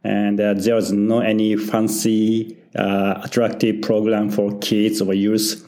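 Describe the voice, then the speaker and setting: A middle-aged Japanese man with a Japanese accent, a pastor answering interview questions in halting English full of pauses and small laughs. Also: on the low side, monotone, mellow. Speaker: church founder and senior pastor.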